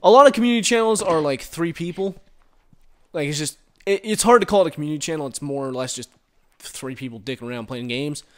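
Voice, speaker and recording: A man giving casual, rambling commentary while playing a game, recorded on a headset and reacting loudly to the action. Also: a little high, quick pace. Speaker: gaming commentator.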